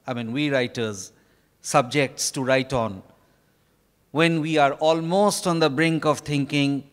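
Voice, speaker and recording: An Indian man in his fifties speaks as an author addressing an event from notes. He is very deliberate and unhurried, halting between short phrases. His voice is smooth and resonant, carried by a microphone in a reverberant hall. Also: medium pitch. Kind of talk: formal prepared speech from notes. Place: stage in a large hotel ballroom. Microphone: handheld microphone.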